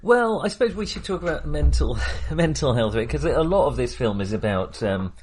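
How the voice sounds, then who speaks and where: A man's voice: a little high, expressive, normal speed, darkish tone, slightly rough. A man co-hosting a casual film discussion, thinking aloud in a rambling, hesitant way. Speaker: podcast co-host.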